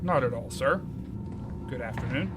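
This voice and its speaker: A man playing a politely menacing elderly gentleman in a radio drama, with formal, overly courteous speech. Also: mid-range voice, animated, normal speed, over music, close to an everyday voice. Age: older. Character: kindly-seeming, sinister stout elderly gentleman, politely menacing.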